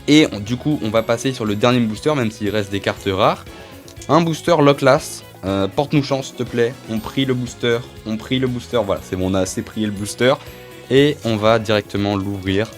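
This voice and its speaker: Young man with a stuffy cold. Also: medium pitch. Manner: chatty, rambling, names each card as he goes. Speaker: channel host. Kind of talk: casual card-opening vlog. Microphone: phone camera.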